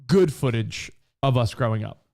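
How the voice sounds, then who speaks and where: A man in his forties co-hosting a podcast in casual chat, animated and fond of acting out quoted voices. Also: medium pitch, normal speed, vocal fry. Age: 40s. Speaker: podcast co-host.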